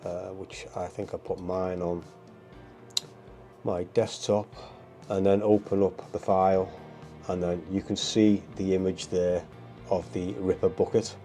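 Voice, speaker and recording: A man with a cold talks through his hobby in a stuffy, bunged-up voice that sits low and dark. He rambles casually, filling the gaps with ums and uhs. Faint music plays quietly behind him. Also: flat delivery, measured pace. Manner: rambling, full of ums and uhs, keeps saying guys. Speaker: hobby channel host. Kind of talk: casual how-to narration.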